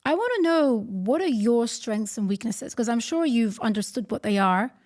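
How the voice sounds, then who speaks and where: A woman's voice: a little high, expressive, moderate pace, a little mellow. A woman hosting a podcast, asking conversational interview questions.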